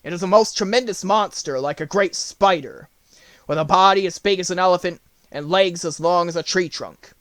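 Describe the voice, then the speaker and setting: Man voicing a loud, emphatic storybook tiger. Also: high-pitched, moderate pace, dry recording, voice pushed up. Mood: alarmed. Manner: formal, emphatic declaiming. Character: big anxious tiger speaking formally to his king.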